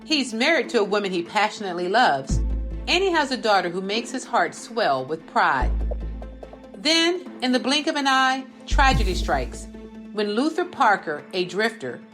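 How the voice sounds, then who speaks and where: A woman's voice: mid-range voice, very animated, normal speed. A woman narrating a book trailer in a polished, suspenseful, scripted style. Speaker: promo narrator. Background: music.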